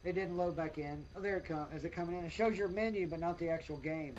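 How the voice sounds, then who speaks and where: High-voiced man gaming over clear noise. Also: fast talker, a little mellow, muffled recording. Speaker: gamer on a livestream. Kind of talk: casual gaming chat, swearing.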